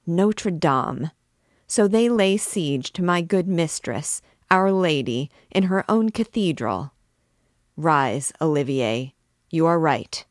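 A woman voicing a fierce, cunning old king who gives commanding orders in a low voice. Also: lively intonation, slow pace, no echo, studio quality. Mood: outraged. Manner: commanding, rising to shouted orders.

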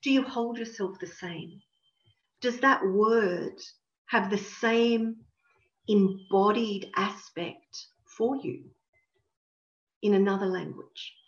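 Woman host, slow thoughtful questions, echoey room, dull lo-fi sound. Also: medium pitch. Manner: reflective, slow, emphatic questions. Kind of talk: coaching talk. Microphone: video call.

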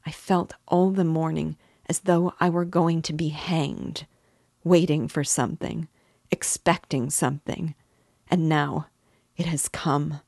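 A woman's voice: low voice, steady tone, takes it slowly, vocal fry, a little mellow, no echo, studio quality, full character voice. A woman voicing an anxious, tearful middle-aged mother who pleads emotionally with her son. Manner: pleading, emotional, breaking into sobs. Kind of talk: audiobook character reading.

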